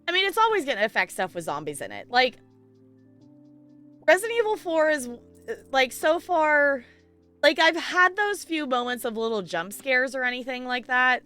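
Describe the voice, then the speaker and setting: Female game streamer, lively and swooping delivery, slightly high voice, casual and playful chat with viewers. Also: normal speed, soft background music. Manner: playful, giggly, animated. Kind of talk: casual livestream chat, rambling.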